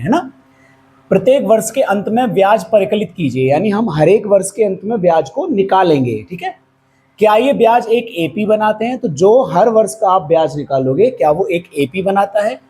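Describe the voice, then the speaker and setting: Hoarse guy teaching maths, mellow but high-ish voice, keeps quizzing and answering himself, faint hiss behind. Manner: patient, asks questions and answers them himself. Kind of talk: classroom lesson at a whiteboard. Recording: faint background noise.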